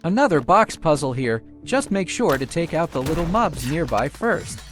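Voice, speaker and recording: A man with a fairly high, very animated voice narrates a video game walkthrough. He is chatty and informal, with a playfully boastful streak. Music plays behind his commentary. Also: normal speed.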